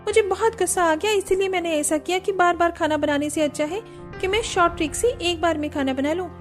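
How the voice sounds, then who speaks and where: A woman's voice: very high voice, brisk, faint music behind, voice pushed up. A woman voicing an aggrieved young woman in an Indian family cartoon, complaining insistently. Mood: upset, aggrieved. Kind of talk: acted cartoon story dialogue.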